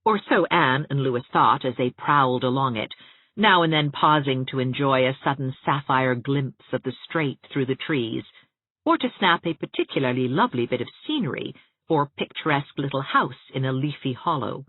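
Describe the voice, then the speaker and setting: Woman audiobook narrator, lively varied intonation, husky tone, scripted literary storytelling, thin band-limited sound. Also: extremely low pitch, normal speed, no room sound. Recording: narrow, phone-like audiobook sound.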